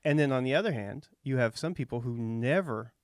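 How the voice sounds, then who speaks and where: A man in his thirties from Texas with a light Texas accent, hosting a relaxed, folksy interview and thinking out loud with fillers. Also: mid-range voice, fairly quick, no room sound, studio quality. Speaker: podcast host. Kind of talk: casual interview chat.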